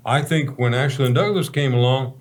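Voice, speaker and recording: A man's voice: medium pitch, fairly quick. A man in his seventies from Texas with an American accent, hosting a talk show in a folksy, emphatic, conversational way. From Texas, United States. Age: 70s.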